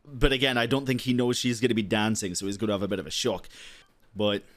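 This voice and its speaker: A man's voice: on the low side, flat delivery, quick pace. A man streaming a game, giving casual, slangy, sweary reactions as he thinks aloud. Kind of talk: casual reaction commentary over gameplay. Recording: game music faintly behind.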